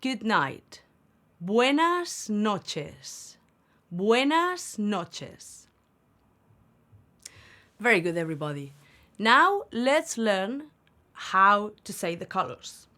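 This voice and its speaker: Lady teacher going really slow, bright voice, repeats every word. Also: medium pitch, very animated. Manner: says each word slowly and repeats it for learners. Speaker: Spanish teacher, channel host. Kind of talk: slow, clear beginner language lesson.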